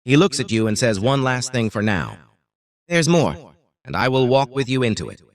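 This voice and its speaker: Man, guided meditation narrator, soothing, deliberate, short paused phrases, highly varied intonation. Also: sing-song, no room sound, clean studio sound.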